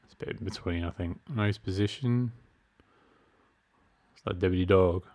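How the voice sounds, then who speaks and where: Gravelly low-voiced man. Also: normal speed, darkish tone. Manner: chatty, self-deprecating, reads menu options aloud. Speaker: gaming streamer. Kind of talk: casual let's-play commentary.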